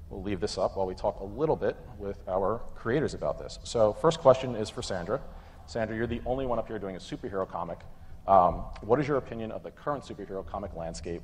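A man in his thirties presenting and moderating a conference panel in an informal, halting way. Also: lowish voice. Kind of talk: conference presentation, semi-casual. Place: conference room stage with audience. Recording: echoey hall PA, clear background noise.

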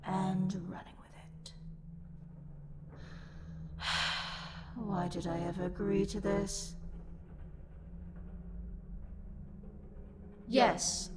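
A woman's voice: fairly high pitch, background noise. A woman voicing a livid, haughty tsundere demon in a scripted roleplay audio, condescending and scornful, with theatrical sighs. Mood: exasperated.

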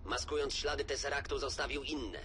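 Analytical hero for animated series male actor: mid pitch, serious, matter-of-fact, noisy backdrop. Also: a little fast, lightly acted. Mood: grave, focused. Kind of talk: dubbed animated superhero series acting. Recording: clearly noisy background.

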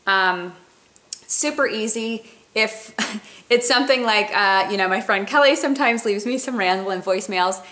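A woman in her thirties talks casually into a close webcam in her kitchen. Her voice sounds bright and forward, and she chats along with frequent fillers and restarts. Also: mid-range voice, moderate pace. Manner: chatty, many fillers and restarts. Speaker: company representative. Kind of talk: casual product walkthrough. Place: home kitchen, indoors. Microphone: webcam at close range.

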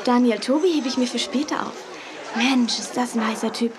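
A woman's voice: on the high side, a little fast, a crowd in the background, natural voice. A woman playing a conceited, ambitious, snippy girl who dreams of fame in a children's audio drama. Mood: smug. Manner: boastful, snippy. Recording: slightly noisy 1980s cassette.

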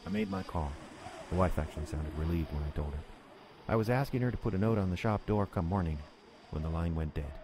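A man's voice: low voice, fast talker, lightly acted. An actor playing an uneasy working man who narrates his own snowbound horror story in a dramatic, brooding way. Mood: brooding dread. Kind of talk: first-person radio horror narration. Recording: noisy storm ambience under the voice.

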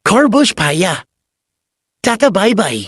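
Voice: medium pitch, sing-song, unhurried, a bit bright, no room sound, studio quality. A performer playing a cheery, teasing tortoise in a children's cartoon race. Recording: clearly noisy background.

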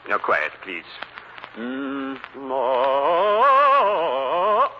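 An actor playing a fussy, self-important French ballad singer in an old-time radio drama. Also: male voice, medium pitch, big pitch swings, drawn-out words. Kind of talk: 1940s radio drama acting. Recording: muffled, noisy old radio recording.

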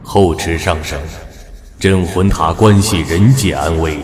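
Deep, slow ancient immortal guardian. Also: male voice, crowd noise. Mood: grave admonishing. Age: older. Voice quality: aged, weighty voice. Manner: calm, unhurried, admonishing. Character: ancient male immortal guardian of a tower, calm, solemn elder. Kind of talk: audio drama acting. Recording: cavernous reverberant hall effect.

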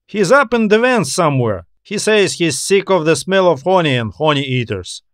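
Earnest, high-voiced space station operator played by a man. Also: big pitch swings, moderate pace, a bit bright, no echo, clean studio sound. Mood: friendly. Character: cautious, law-abiding male space station operator.

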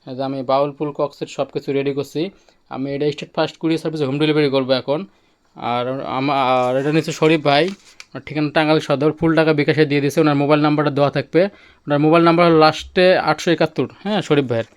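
A man's voice: on the high side, fairly even intonation, racing, clean tone. A man with a Bangladeshi accent giving a casual product demonstration as a shop seller, explaining hands-on and repeating himself. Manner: repetitive, hands-on showing and explaining. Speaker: electronics shop owner and seller. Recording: music playing from demonstrated player. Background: music from a player.

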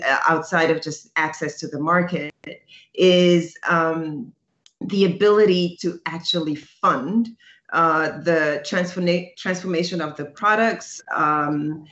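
A woman in her thirties from Senegal explaining as a panelist on a video call, halting and pausing often. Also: low-pitched, a bit bright. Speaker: panelist, tech entrepreneur. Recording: echoey, dull video call audio.

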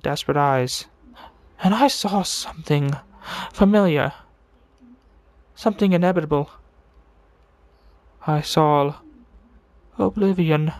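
A man voicing a deranged serial killer who confesses with morbid relish in a theatrical, brooding monologue full of dramatic pauses, in the style of a video game villain. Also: high-pitched, dry recording, full character voice. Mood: morbid, reflective. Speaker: amateur voice impressionist.

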